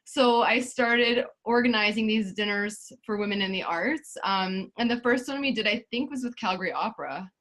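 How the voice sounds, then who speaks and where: A woman's voice: mid-range voice, normal speed, few pauses, a bit bright. A woman from Canada, a guest in an interview, talking in an easygoing, agreeable way with many "um"s and "yeah"s. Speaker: interview guest, festival founder. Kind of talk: casual interview chat.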